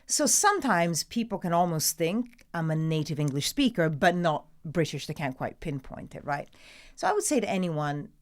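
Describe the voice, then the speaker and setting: A middle-aged woman with an Italian accent speaks in a low voice that swoops up and down a lot. She talks casually and emphatically, as a broadcaster chatting about her work. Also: normal speed. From Italy. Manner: animated, emphatic, laughs often. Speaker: guest, TV newsreader. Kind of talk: casual interview chat.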